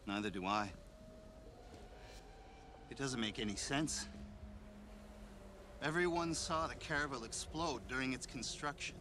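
An actor playing a grave older scientist in a sci-fi video game, measured and disbelieving. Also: male voice, animated, natural voice. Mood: grave disbelief. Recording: noisy rumbling game ambience.

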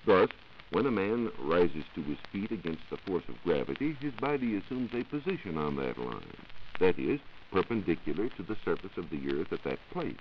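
A man's voice: deep voice, very animated, normal speed. A man, a 1940s radio science reporter, giving scripted explanations in formal wording. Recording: dull, noisy 1940s radio recording.

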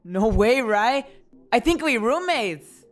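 A woman's voice: on the high side, expressive, takes it slowly. A woman playing an easygoing, supportive college roommate in an audio drama. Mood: amused. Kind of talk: amateur audio drama acting.

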